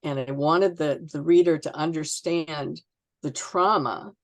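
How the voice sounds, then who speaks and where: A woman author being interviewed, answering conversationally in a reflective, halting way as she searches for words. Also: low-pitched, no room sound.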